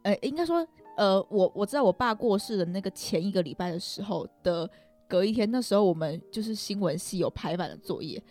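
A woman's voice: mid-range voice, lively intonation, fairly quick, darkish tone, soft background music. A young woman with a Taiwanese Mandarin accent tells personal stories casually, rambling and self-deprecating, hedging as she goes. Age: young adult. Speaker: podcast guest.

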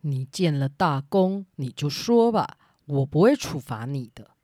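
Woman putting on a low-pitched, commanding storybook king. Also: sing-song, a little slow, dark tone, dry recording, studio quality, exaggerated voice. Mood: gracious, humbled. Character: stern but grateful old-tale king, male.

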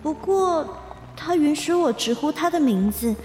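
Casting: high-pitched young female office worker, lovestruck and easily flustered, hesitant, echoing room with music behind. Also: lively intonation, measured pace, warm tone. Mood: shy, pleased. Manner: hesitant, full of little interjections. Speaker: voice actor in radio drama. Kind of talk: modern urban romantic comedy radio drama acting. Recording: roomy reverb, music behind.

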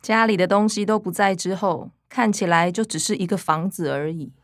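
A woman's voice: lowish voice, normal speed, no room sound, studio quality, natural voice. A woman playing a young student, the casual, defensive younger sister, with a Taiwanese accent in a radio drama. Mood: offhand.

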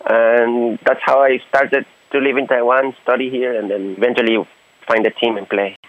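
A man's voice: mid-range voice, little pitch movement. Young man from Honduras, tinny phone line. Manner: halting, searching for words. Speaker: guest footballer. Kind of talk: radio interview.

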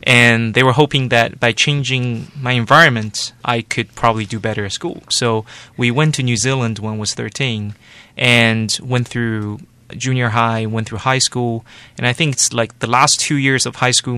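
A man from Taiwan in his forties, an animation studio director, giving steady, explanatory answers in an interview. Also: medium pitch, smooth, no room sound. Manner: explanatory, steady, loose with "like" and "you know" fillers.

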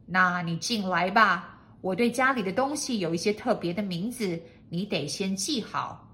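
A woman's voice: mid-range voice, moderate pace, pushed and bright, close to an everyday voice. A woman voicing a pompous, bossy, eccentric lord in a storybook, speaking in an emphatic, commanding way. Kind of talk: storybook character acting.

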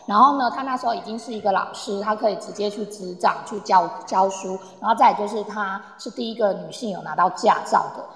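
Woman, Taiwanese Mandarin, casual explainer with English words dropped in; creaky voice, long reverb. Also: medium pitch, fast, hardly any pauses. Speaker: guest presenter on Poland. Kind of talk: casual explanatory talk with audience. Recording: echoey, reverberant room sound.

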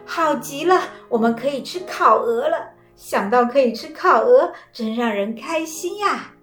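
A doting old wife from a storybook, played by a woman narrator, gushing with excited praise. Her voice lifts high and bright. It sounds roomy, with faint music in the background. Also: a little slow. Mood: delighted.

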